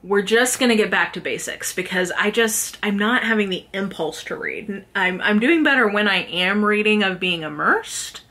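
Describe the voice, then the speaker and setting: A woman's voice: mid-range voice, expressive, moderate pace, hardly any pauses, sharp tone. A woman talking informally to viewers in a chatty, slangy book vlog style, with lots of "like" sprinkled in. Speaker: book vlogger, host. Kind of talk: casual chat to camera, book review.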